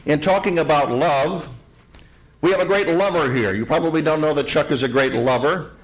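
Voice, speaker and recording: A man delivering a ceremonial tribute to a live audience, speaking in deliberate, emphatic phrases with repetition. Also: on the high side, low-quality recording. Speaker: event speaker, museum president giving opening remarks. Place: hotel grand ballroom event.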